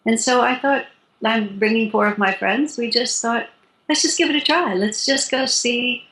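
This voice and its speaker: A woman in her sixties, a spiritual teacher calmly explaining her practice in an interview, with light laughs. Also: on the high side, moderate pace. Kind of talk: interview guest. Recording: roomy echo.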